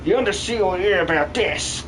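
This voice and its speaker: A man voicing an excitable, colloquial miner villager in an improvised video game fan dub. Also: sits high, normal speed, a little hiss or hum, low-quality recording. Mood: suspicious, indignant. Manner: colloquial, exclamatory.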